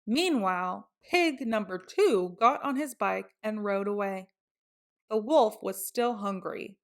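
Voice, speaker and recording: A woman reading a picture book aloud to children in an expressive storytelling style. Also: mid-range voice, slow pace. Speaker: storybook reader.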